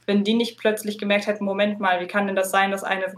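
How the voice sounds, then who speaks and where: A woman's voice: medium pitch, level tone, quick pace, a little hiss or hum. A woman in a casual podcast conversation, talking in a wordy, explanatory way. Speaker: podcast co-host appearing as guest.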